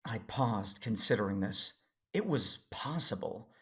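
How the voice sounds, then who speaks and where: A man playing an earnest, worried Jedi father who tells his story in serious, deliberate audio drama narration. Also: fairly low pitch, lively intonation, pushed and bright, exaggerated voice. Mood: reflective, serious. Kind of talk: first-person audio drama narration and dialogue.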